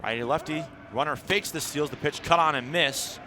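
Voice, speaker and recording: A man's voice: fairly high pitch, normal speed. A man calling live baseball play-by-play on the radio, mixing clipped descriptive calls with longer analysis. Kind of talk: live radio sports commentary. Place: outdoor ballpark broadcast. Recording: steady outdoor ballpark background noise.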